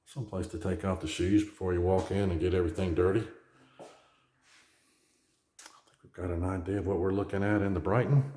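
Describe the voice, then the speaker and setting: A real estate agent with a hoarse, worn voice, chatting casually as he walks through an empty new house. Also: low-pitched, steady tone, brisk, long pauses, mellow, slight background noise. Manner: chatty, rambling, self-deprecating. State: hoarse, losing his voice. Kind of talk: casual home tour vlog. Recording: echoey empty rooms, faint smoke alarm chirps.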